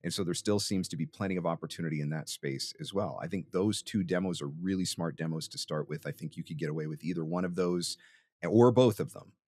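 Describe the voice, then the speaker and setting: A man's voice: sits low, brisk, darkish tone, breathy edge. A man hosting an interview as a voiceover coach, giving conversational advice in an emphatic, explanatory and encouraging way.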